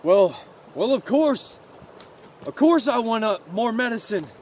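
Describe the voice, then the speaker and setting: A man voicing a small, earnest and naive mouse in a story, halting and repeating words. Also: very high voice, very animated, a little mellow, slight background noise, voice pushed up, exaggerated voice. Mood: hesitant.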